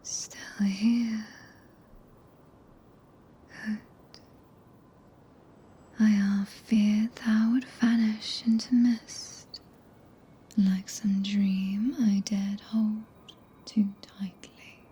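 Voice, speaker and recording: A woman with a British accent playing a tender, possessive, regal witch in a soft breathy ASMR whisper, with archaic, stately phrasing. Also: medium pitch, flat delivery, drawn-out words, long pauses, full character voice. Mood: gentle, intimate. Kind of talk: ASMR roleplay. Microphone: close binaural microphone.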